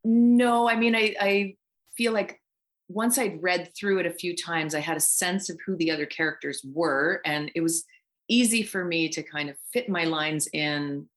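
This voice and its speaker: A middle-aged woman with a slightly low voice chats casually as an interview guest. She talks informally, often quoting her own reactions as she explains things. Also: moderate pace. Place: home recording space, remote.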